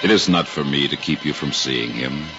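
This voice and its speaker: An actor in a 1950s radio drama playing a gentle, solemn carpenter with a stately, reverent manner. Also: male voice, very deep voice, normal speed, music in the background. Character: gentle, solemn carpenter, protective new father. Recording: muffled old radio recording.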